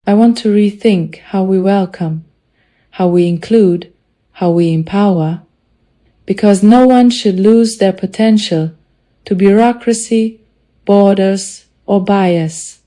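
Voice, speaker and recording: A woman with a foreign accent reading a prepared personal testimony in an earnest, deliberate way, in short measured phrases. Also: medium pitch, warm tone. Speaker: student telling a personal story.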